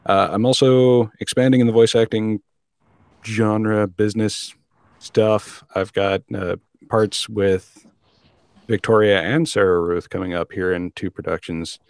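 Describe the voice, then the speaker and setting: A man with a fairly deep voice chats as a guest host on a podcast. He picks his words a little hesitantly. The recording is dry and free of room sound. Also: steady tone, unhurried, a little mellow, clean tone, no room sound. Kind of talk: casual chat.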